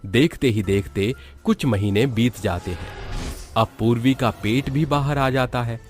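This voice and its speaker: A man's voice: medium pitch, measured pace. A man narrating a cartoon moral horror story in a dramatic, scripted storytelling style. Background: background music.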